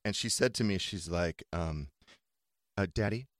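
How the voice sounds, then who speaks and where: A man's voice: low-pitched, unhurried, no room sound. A man hosting a casual, gossipy studio talk show, asking frank and crude questions. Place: radio studio. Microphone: close studio microphone.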